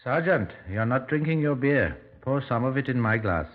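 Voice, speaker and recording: An actor playing a pompous, deliberate country doctor with formal, hesitant phrasing in a 1950s radio drama. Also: male voice, medium pitch, animated, close to an everyday voice. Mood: fussy, polite. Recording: dull old 1950s radio recording.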